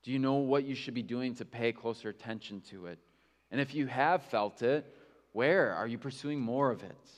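A man's voice: medium pitch, normal speed, big-room sound. A male pastor preaching a sermon in a candid, conversational way, with self-mocking asides.

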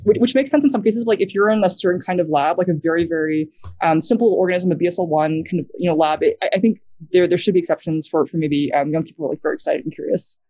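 A young woman with an American accent, a guest talking casually on a video call, quick and halting, full of fillers and restarts. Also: fairly low pitch, mellow, no room sound. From New Zealand.

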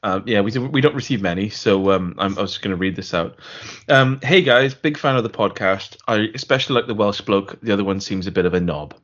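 A man co-hosting a podcast, chatting casually and reading out a listener email with a dry, deadpan delivery. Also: lowish voice, a little fast, hardly any pauses. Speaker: podcast co-host. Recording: dull lo-fi podcast audio.

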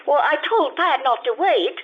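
An actress as a flustered society woman in an old radio play, very high-voiced and swooping widely in pitch. She is fluttery, hesitant and theatrically pleading, heard on a muffled recording. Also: expressive, normal speed. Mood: flustered, polite. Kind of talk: 1950s old-time radio acting.

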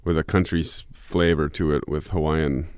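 Man, very deep smooth voice, rambling musician guest, dry close sound. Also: fairly even intonation, moderate pace, a little mellow, no room sound. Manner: rambling, many restarts and fillers. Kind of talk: relaxed interview answers.

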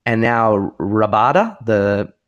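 Australian male podcast co-host, slightly deep voice, blunt and informal sports chat. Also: animated, slow, clean tone, highs cut off. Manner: opinionated, informal, sweary. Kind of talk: casual chat about sport.

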